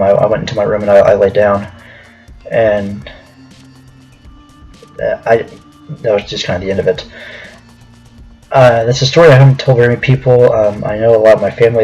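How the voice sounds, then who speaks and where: A man with a somewhat husky voice at an average pitch tells a personal story casually to his viewers, rambling a little as he goes. The recording is a bit muffled, with music playing behind him. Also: darkish tone. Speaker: video storyteller.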